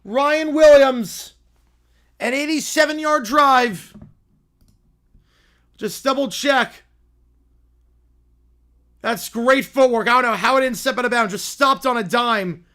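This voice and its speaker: A man with a worn, hoarse voice calling college football play-by-play live on a stream, talking to chat between plays. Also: very high-pitched, takes it slowly, pauses often. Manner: excited, rising commentary on big plays, quieter asides to chat.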